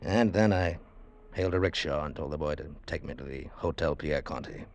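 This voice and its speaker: A cynical adventurer from a vintage radio thriller. His deep voice is world-weary and wry, delivered in hard-boiled style. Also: a little fast, soft background music. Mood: brooding narration. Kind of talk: 1940s radio acting with first-person narration.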